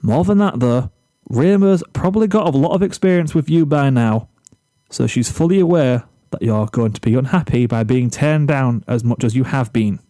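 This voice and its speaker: Guy doing a fed up teen boy in a story, blunt n emphatic, dry mellow sound. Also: mid-range voice, no room sound, natural voice. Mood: firm, insistent. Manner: firm, emphatic, deliberate. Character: exasperated, blunt teenage boy lecturing a schoolmate. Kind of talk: storybook character dialogue.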